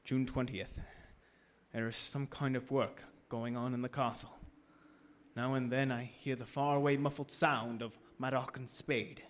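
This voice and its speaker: An actor playing an anxious, trapped gentleman in a gothic radio drama, earnest and dramatic in his delivery. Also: medium pitch, little pitch movement, measured pace, a little hiss or hum, lightly acted. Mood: haunted narration. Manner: earnest, dramatic, increasingly fearful. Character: Jonathan Harker, anxious trapped English gentleman guest. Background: loud music.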